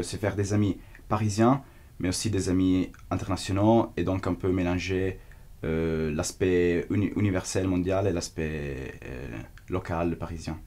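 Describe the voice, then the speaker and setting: Deep-voiced Italian man, earnest. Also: mellow. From Italy. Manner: earnest, searching for words in a second language. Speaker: exchange student. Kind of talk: testimonial interview.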